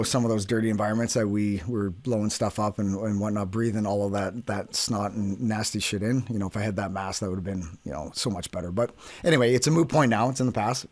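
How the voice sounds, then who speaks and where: A man's voice: on the low side, no echo. A man hosting an interview in an informal, plain-spoken way. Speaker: podcast host, military veteran. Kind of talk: casual interview chat.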